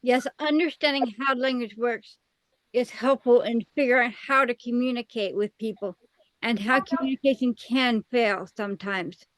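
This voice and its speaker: A woman leading a casual Bible study discussion, often affirming others with soft "mm-hmm"s. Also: a little high, normal speed, no echo, poor audio.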